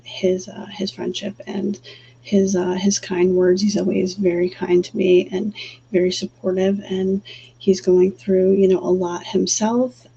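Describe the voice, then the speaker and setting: A woman hosting a livestream who talks softly and calmly, pausing often with fillers, before leading a guided breathing exercise. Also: mid-range voice, creaky. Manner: soft, calm, halting with many fillers. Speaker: livestream host and energy healer. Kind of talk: casual livestream chat, then guided breathing. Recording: dull lo-fi stream audio with faint hum.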